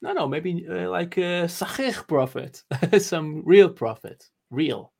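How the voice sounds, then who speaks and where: Male panelist, tentative and hesitant, self-deprecating; slightly high voice. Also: takes it slowly, creaky, a little mellow. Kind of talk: casual online panel discussion.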